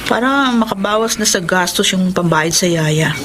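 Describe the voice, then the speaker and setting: A woman's voice: on the low side, expressive, normal speed, noisy background, lightly acted. An actress playing a practical, caring but bossy young wife and mother in a Filipino radio drama. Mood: practical, instructing. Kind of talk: Tagalog radio drama acting.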